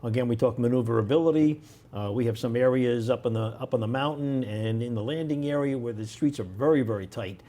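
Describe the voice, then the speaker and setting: A man's voice: medium pitch, fairly quick, warm tone. A man speaking as a firefighter guest in an interview, explaining in a conversational way.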